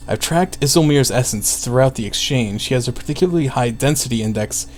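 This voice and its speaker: A man's voice: mid-range voice, expressive, brisk, a little mellow, lightly acted. Man reading as an intent, clinical female scholar. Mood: focused, clinical. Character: intent, brisk female scholar studying souls. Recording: clearly noisy background.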